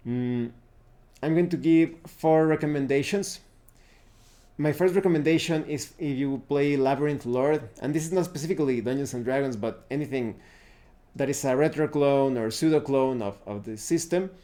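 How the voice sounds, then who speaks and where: Mellow-voiced man giving a halting review. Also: mid-range voice. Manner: hesitant, halting, searching for words. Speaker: tabletop game reviewer and host. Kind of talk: unscripted review talk to viewers.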